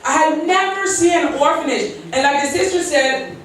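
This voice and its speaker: A woman in her forties from Liberia tells her story in an earnest, heartfelt speech at an event. Her voice sits a little high. It reaches the mic from far off, swamped by the echo of a big hall. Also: moderate pace, a bit bright, a little hiss or hum. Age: 40s. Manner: earnest, storytelling, with quoted prayers and light laughs. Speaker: keynote speaker.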